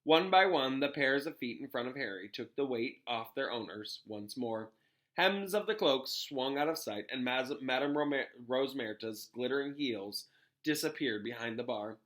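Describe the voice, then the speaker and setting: Bright-voiced man reading a story aloud. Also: medium pitch, animated, moderate pace, poor audio. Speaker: teacher. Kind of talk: storybook read-aloud narration.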